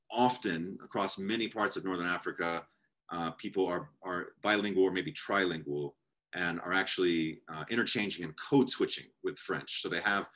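Low-voiced man on a video call. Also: bright, forceful tone. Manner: thoughtful, reads from his draft with gestures. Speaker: panelist. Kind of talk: academic panel talk. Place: home room, indoors.

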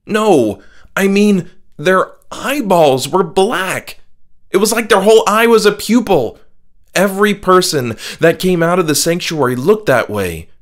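A man's voice: high-pitched, animated, a little slow, voice pushed up. A man voicing a frightened, injured male friend who speaks in agitated, insistent protest in a horror audiobook. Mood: scared, insistent. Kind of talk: horror audiobook character dialogue.

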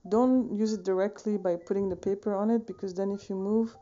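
A woman in her thirties from Switzerland talks through her painting process at home. Her voice is very dark and mellow. She rambles in a relaxed way, full of fillers and self-corrections, recorded on a phone camera at desk distance. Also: medium pitch, fairly quick, highs cut off, faint music behind. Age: 30s. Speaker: painting vlogger. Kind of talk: casual tutorial chat. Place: home studio room, indoors, wooden shelves.

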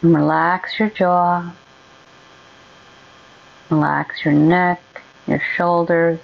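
A woman leading a guided meditation in a gentle, soothing, unhurried way with long pauses. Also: on the low side, little pitch movement, gravelly, muffled recording.

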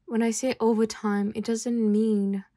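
A woman playing a calm, worldly mafia boss in an ASMR roleplay, speaking softly and intimately as she gently advises an employee. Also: a little high, unhurried, no echo, studio quality, lightly acted. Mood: calm, advising.

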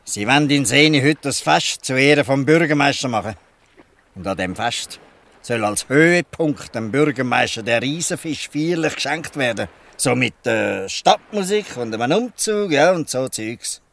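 An actor with a Swiss German accent playing a weary, good-natured old fisherman who explains things haltingly in a dialect audio drama. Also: mid-range voice, animated, gravelly, fairly bright tone. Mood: wry, resigned.

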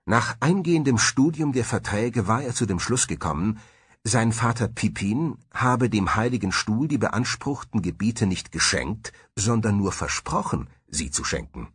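Male history narrator, low husky voice, dramatic and emphatic scripted delivery. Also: animated, normal speed, no echo, studio quality. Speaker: documentary narrator.

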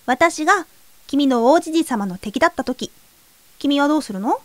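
Teenage fairy-tale girl, acted by a woman, audio drama, high voice, animated intonation, teasing, unruffled, fearless. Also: moderate pace, no room sound. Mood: playful, gentle. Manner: gently teasing, unruffled feminine speech. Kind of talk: scripted audio drama acting. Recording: music behind the voice.

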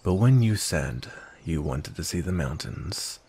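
A man playing a grumpy, cold, commanding vampire knight in a roleplay ASMR, husky and gravelly, speaking in a stern, measured way. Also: low voice, expressive, darkish tone.

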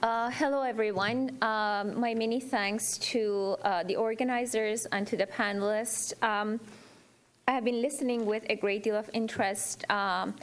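A woman's voice: a little high, fairly even intonation, moderate pace, slight background noise. A woman from Iran making earnest, emphatic impromptu remarks at a public forum, repeating phrases for stress. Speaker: audience speaker at a public forum.

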